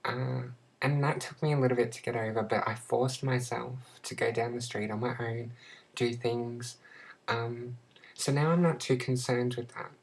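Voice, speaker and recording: A young Australian woman talking to her camera in a casual personal vlog, halting and searching for words with many restarts. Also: a bass voice, steady tone, poor audio. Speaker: vlogger.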